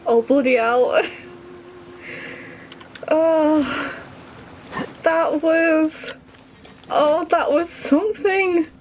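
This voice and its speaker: A woman's voice: sits high, drawn-out words, pauses often, a little mellow, slight background noise. A woman, reacting casually as she streams a game.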